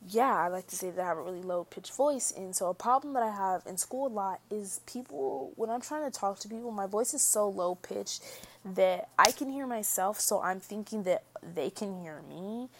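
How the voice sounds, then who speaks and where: A young woman in a casual monologue to camera, soft-spoken and prone to mumbling, rambling with lots of "like" and restarts. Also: mid-range voice, moderate pace, slight background noise. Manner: rambling, full of likes and restarts. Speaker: vlogger.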